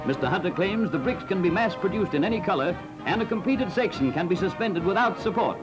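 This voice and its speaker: Man, high voice, very lively intonation, jaunty newsreel commentary, loud music behind. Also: brisk, low-quality recording. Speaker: newsreel narrator.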